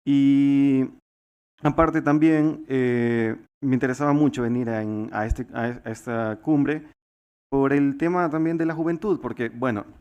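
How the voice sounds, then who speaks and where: A man's voice: medium pitch, unhurried, a little mellow, clean tone, lo-fi sound. A man in his twenties from El Salvador giving a formal talk at a youth summit, earnest, with frequent fillers and restarts. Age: 20s.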